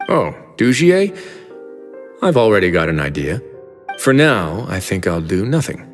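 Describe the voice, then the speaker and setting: An actor playing a composed prison-warden duke with a dry, teasing manner, in a video game dub. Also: male voice, fairly low pitch, big pitch swings, measured pace, big-room sound, soft background music. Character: calm male prison warden duke, wry and firm.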